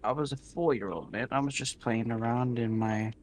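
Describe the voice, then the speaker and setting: A man telling improvised stories casually, rambling and halting, often repeating his phrases. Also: mid-range voice, noisy background. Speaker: storyteller in a gaming video. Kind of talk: casual improvised storytelling while gaming.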